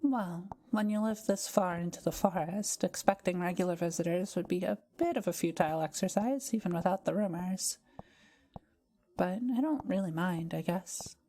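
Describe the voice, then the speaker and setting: A woman playing a kind, welcoming young witch who lives alone in the forest, speaking gently and playfully to the listener in a roleplay audio drama. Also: on the low side, close to an everyday voice. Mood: cozy, friendly. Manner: gentle, unhurried, softly playful.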